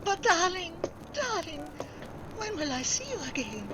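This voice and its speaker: A woman's voice: very high voice, very animated, measured pace, noisy background, exaggerated voice. A woman playing a gullible, passionate young French spy with a French accent, theatrical and pleading, in 1940s radio melodrama style.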